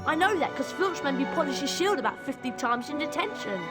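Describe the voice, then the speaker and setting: A woman's voice: high voice, animated, fairly quick, loud music behind the voice. A woman playing an eager, chatty schoolboy in a video game. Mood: chatty, curious. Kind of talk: video game cutscene acting.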